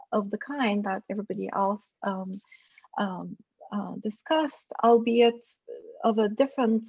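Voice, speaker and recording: Woman on a dull lo-fi call line. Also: mid-range voice. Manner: thoughtful, slightly self-conscious. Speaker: panelist. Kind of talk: academic panel discussion.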